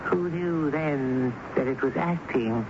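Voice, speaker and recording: An actor in a vintage radio drama playing a fussy, gossipy night manager, chatty and hesitant with arch emphasis. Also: male voice, on the high side, takes it slowly, lo-fi sound. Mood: gossipy, scandalized. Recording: noisy, band-limited old radio broadcast.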